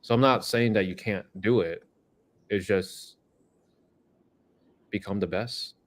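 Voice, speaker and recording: A man's voice: fairly low pitch, slow. A man co-hosting a live Q&A, frank and motivational, giving tough-love advice. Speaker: co-host answering chat questions. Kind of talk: casual live-stream chat.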